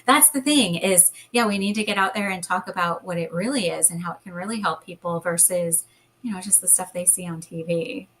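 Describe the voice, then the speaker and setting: A woman with a calm, soothing voice, speaking as a hypnotherapist guest in a casual interview over a video call. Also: on the low side, a little fast, a little hiss or hum.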